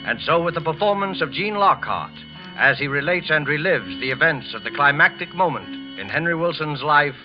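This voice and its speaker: An actor playing a suave, ominous host, introducing a thriller in measured 1940s radio style. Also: male voice, on the high side, animated, natural voice. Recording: muffled vintage 1940s radio recording. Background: dramatic music.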